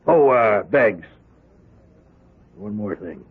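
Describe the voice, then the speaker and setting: A man's voice: on the low side, expressive, extremely slow, slightly rough, heavily acted. An actor playing a paternal but stern prison warden in a radio drama, hearty and impatient in his lecturing. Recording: muffled, tinny old radio broadcast.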